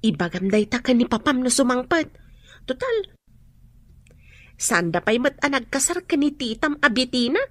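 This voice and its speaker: Bright-spirited, very high big sister. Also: female voice, expressive, normal speed, long pauses, no room sound. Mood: reassuring. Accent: Ilocano. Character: caring, playful older sister figure to a girl. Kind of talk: radio drama acting.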